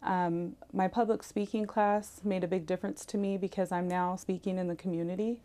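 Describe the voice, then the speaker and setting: A woman's voice: lowish voice, fairly even intonation, brisk, warm tone. A woman, a college student, giving an earnest personal testimonial in an interview.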